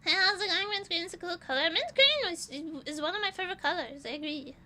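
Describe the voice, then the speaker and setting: A woman from Portugal, chatting with her stream audience in a loose, rambling way and breaking into giggles often. Her voice sits high and swoops up and down a great deal. It has a bright, sharp edge to it. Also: lively intonation, fast. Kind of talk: casual chat reading live chat.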